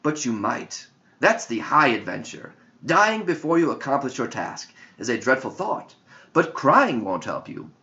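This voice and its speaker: Man playing a firm, wise woman mentor, lively pitch. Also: unhurried. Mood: challenging. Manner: instructive, probing, direct. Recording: dull lo-fi audio.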